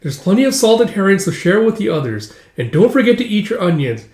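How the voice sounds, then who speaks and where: A man puts on a fussing Viking mother, scolding and nagging in a raised pitch. The room adds an audible echo to the storybook-style performance. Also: very animated, fairly quick, darkish tone. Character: nagging Viking mother fussing over her grown son. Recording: echoey room.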